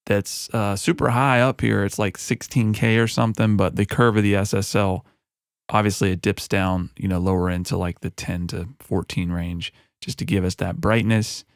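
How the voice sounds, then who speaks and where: Chill guy w a lowish voice walking thru his mix, lots of "you know" and "uh", dry close broadcast mic. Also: clean tone, dry recording. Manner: relaxed and conversational, with frequent fillers. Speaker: music producer and recording artist. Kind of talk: mixing tutorial walkthrough. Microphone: broadcast studio microphone.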